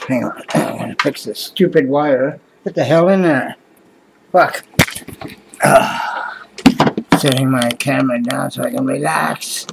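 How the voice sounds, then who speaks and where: Fifties man from Canada, tired rambling home vlog on a webcam, swears and sighs, soft hum of air conditioning. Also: medium pitch, vocal fry. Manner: mumbling, sighing, swears often, trails off. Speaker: vlogger. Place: small cluttered room indoors at night. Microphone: webcam close to face.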